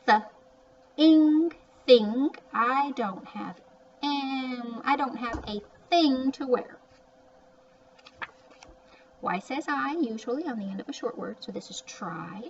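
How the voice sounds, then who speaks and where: Slow-paced woman teaching reading, distant muffled mic. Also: a little high, lively intonation. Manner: sounds out and repeats words, chatty asides. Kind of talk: word-by-word phonics lesson for young children. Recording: distant mic, muffled, faint background noise.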